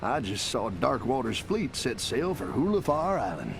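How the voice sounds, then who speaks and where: Gravelly, shady arms dealer. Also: male voice, mid-range voice, very animated, normal speed, background noise. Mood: sly, chummy. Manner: folksy, sly salesman patter. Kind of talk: video game character acting. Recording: music behind the voice.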